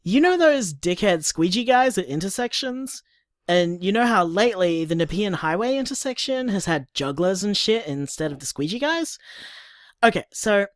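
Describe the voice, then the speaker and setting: A woman with an Australian accent playing a blunt, sweary young partner, talking in an animated, wry way. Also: medium pitch, no echo, clean studio sound, natural voice. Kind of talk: audio drama, voice-message monologue.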